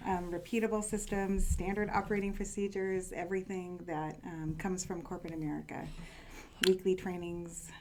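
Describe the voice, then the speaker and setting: A woman's voice: on the low side, little pitch movement, normal speed, noisy background. A woman in her forties from California, a real estate agent answering interview questions in a matter-of-fact way and laughing easily.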